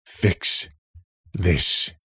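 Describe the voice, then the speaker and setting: A man's voice: extremely slow, sharp tone, no room sound, clean studio sound, exaggerated voice. A man voicing an exasperated, sarcastic, hot-tempered man in a story, with an incredulous, mocking delivery. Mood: demanding.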